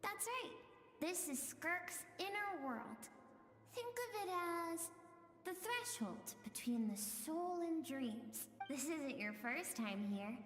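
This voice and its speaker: A woman voicing a mysterious dream-world guide in an anime video game, calm and cryptic, with a long echoing reverb. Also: high voice, slow pace, heavily acted. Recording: cavernous reverb, music behind.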